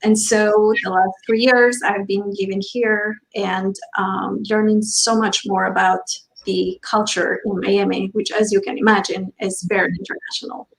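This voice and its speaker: A woman's voice: medium pitch, level tone, moderate pace, vocal fry, hardly any pauses, rough recording. A woman who grew up in Albania introducing herself on a panel in a gracious, formal way.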